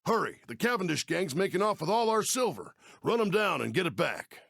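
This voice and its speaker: A man's voice: sits high, very animated, a little fast, vocal fry, a bit bright, no room sound, studio quality, heavily acted. A man playing a folksy, aging, kindly but worried Old West sheriff in a video game, with an American Western accent and neighborly, drawling speech. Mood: alarmed, urgent. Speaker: mission-giving game character. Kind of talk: video game character acting.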